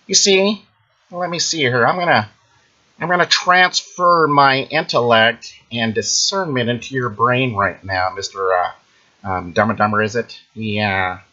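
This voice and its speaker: A man playing a smug, boastful internet ranter who claims to read minds, taunting the listener in a mocking sing-song and tagging lines with drawn-out "mm-hmm"s. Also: medium pitch, sharp tone, muffled recording. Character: smug boastful male internet ranter, self-proclaimed mind-reader. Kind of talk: mocking satirical monologue.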